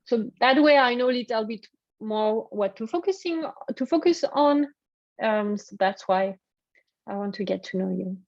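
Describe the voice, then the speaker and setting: A woman's voice: a little high, normal speed, no echo, lo-fi sound. French-accented woman presenting a webinar. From France. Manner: hesitant, many fillers and restarts. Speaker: workshop presenter. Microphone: video call.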